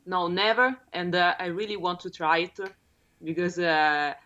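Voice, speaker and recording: A young Italian-accented woman answers interview questions over a video call. She talks in a lowish voice, hesitant and full of fillers, laughing often. The sound is dull and lo-fi. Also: unhurried, sharp tone. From Rome, Italy. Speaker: guest bassist.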